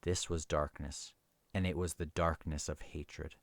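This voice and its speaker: A man hosting a casual book review, talking and reading passages aloud in a halting, self-correcting way. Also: very deep voice, flat delivery, vocal fry, no room sound, studio quality.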